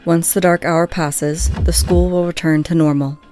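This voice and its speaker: A woman playing a composed, commanding student leader in a fan audio drama, formal and measured. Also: on the low side, fairly even intonation, dark tone, soft background music, natural voice.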